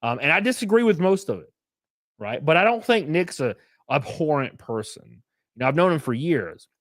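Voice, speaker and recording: A man's voice: a little high, very animated, normal speed, a bit bright, no room sound. A man streaming casual commentary, reading chat messages aloud and rambling with fillers, a bit sniffly. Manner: rambling, lots of fillers and restarts.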